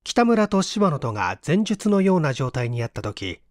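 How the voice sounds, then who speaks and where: A man reading fiction aloud as an audiobook narrator, in a measured, dramatic storytelling style. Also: fairly high pitch, sing-song, dry recording, clean studio sound. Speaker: audiobook reader.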